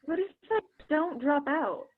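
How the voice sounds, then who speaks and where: A woman's voice: sits high, expressive, unhurried. A young woman acting in a play as an awkward, evasive teenage girl with a hesitant, defensive way of talking. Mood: deflated. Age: young adult. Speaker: student actor in a school play. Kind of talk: one-act play acting. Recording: tinny phone-like line.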